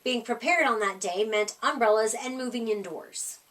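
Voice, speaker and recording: A woman reading a children's picture book aloud as a storyteller, with animated, emphatic delivery. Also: a little high, moderate pace, far from the mic. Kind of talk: expressive read-aloud storytime narration.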